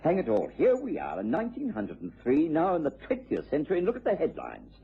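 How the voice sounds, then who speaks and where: A genteel, old-fashioned English doctor in a radio play. His voice is husky and fairly low, with wide, animated swings of pitch, and the recording is narrow and tinny. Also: quick pace, exaggerated voice. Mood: indignant. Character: genteel, easily shocked English doctor, loyal friend of a detective. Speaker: actor playing narrator and sidekick in radio drama. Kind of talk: BBC radio drama acting.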